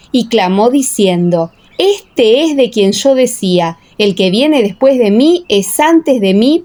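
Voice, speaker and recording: A woman narrating a dramatised scripture audiobook in a solemn, measured way. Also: a little high, mellow.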